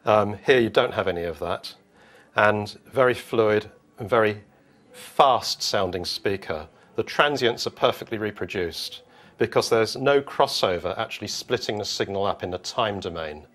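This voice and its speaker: A man giving an unscripted technical explanation as a product designer, haltingly repeating and rephrasing his phrases. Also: lowish voice, fairly bright tone.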